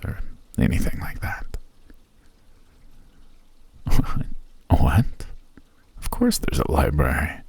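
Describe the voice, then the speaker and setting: Brief: male VA as a mysterious elf recluse, very deep husky voice, genteel and teasing. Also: dry recording, exaggerated voice. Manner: genteel, teasing, softly menacing. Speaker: voice actor. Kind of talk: ASMR roleplay.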